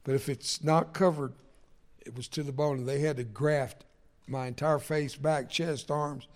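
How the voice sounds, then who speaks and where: An older man whose first language was Spanish, preaching a sermon in a folksy, self-deprecating way and swinging from jokes to quiet, personal moments. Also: medium pitch, fairly even intonation, unhurried.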